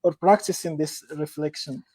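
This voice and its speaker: A man's voice: on the high side, steady tone, warm tone. A man moderating an online lecture, thanking the speaker and reading out audience questions with some fillers. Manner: polite, measured, with fillers. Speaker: session moderator.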